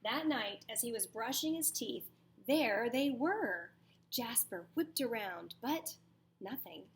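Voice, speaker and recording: Slow, higher-voiced woman reading to children. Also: lively intonation, slight background noise. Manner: dramatic pauses, emphatic, questions to young listeners. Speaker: teacher reading aloud to children. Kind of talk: expressive storybook read-aloud with asides.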